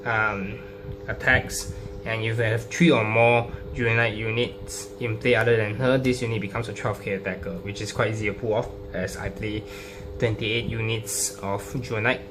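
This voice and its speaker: A man with a fairly low voice talks through a trading card deck in a casual, off-the-cuff way. His delivery is halting, with frequent ums as he explains each card. The home recording has clear noise and a hum behind him. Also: flat delivery. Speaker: trading card game player presenting a deck profile.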